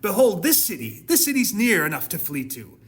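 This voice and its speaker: A man's voice: high-pitched, huge pitch swings, brisk, husky, faint music behind, pitched above the performer's own voice, heavily acted. A man voicing a desperate, anxious man in denial, pleading and wheedling in a dramatic scripture reading. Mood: anxious bargaining.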